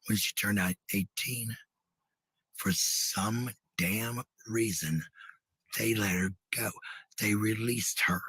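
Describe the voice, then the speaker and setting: A man's voice: lowish voice, fairly bright tone, slightly rough, no echo, poor audio. A man telling personal stories in a casual, blunt, defensive way, with some swearing. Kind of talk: casual personal storytelling and venting.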